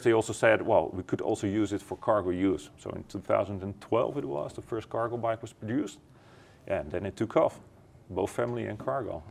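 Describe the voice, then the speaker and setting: Dutch-accented man, low voice, hesitant. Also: creaky, darkish tone. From Netherlands. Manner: hesitant, many uh fillers and restarts. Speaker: company executive. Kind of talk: factory tour interview. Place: warehouse then glass-walled office.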